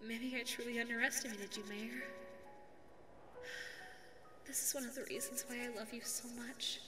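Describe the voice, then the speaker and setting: Woman doing a sweet lovestruck dog girl secretary, high voice, stammery and shy, asmr roleplay w faint music. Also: fairly even intonation, normal speed, close to an everyday voice. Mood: quietly fond. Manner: stammering, breathy asides, self-effacing. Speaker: voice actor.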